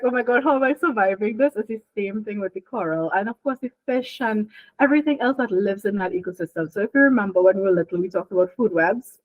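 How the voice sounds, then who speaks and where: A woman's voice: fairly high pitch, dry recording. A young woman from Trinidad and Tobago, a marine biologist talking casually and laughing often as she explains things in an interview. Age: young adult. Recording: dry, phone-like line.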